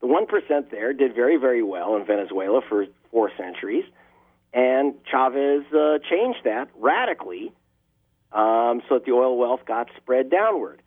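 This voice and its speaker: A man speaks as an investigative journalist in an interview, his delivery impassioned and pressing hard on key words. His voice sits at an ordinary pitch. The sound comes through a thin, phone-like line with the low and high ends cut away. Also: very animated, moderate pace, breathy edge. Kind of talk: interview answers. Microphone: telephone line.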